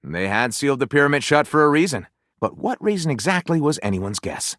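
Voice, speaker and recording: A man's voice: medium pitch, big pitch swings, brisk, fairly bright tone, dry recording, clean studio sound. A man voicing a grandiose, lewd, self-satisfied storyteller character in a video game, with wry, sarcastic asides. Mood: dramatic narrating.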